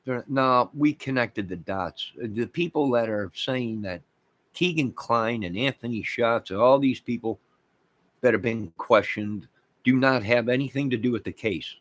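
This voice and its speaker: A man's voice: medium pitch, a little slow, dry recording. A man with an American accent hosting an online channel, giving an opinionated, emphatic rant to his viewers and often repeating phrases for weight. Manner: emphatic, repeats phrases, mocks opposing views sarcastically. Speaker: online video channel host.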